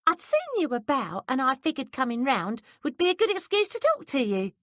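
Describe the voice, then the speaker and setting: A woman's voice: sing-song, fairly quick, a bit bright, dry recording, studio quality. Woman playing a coy, nosy young housemaid. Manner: high, eager questioning.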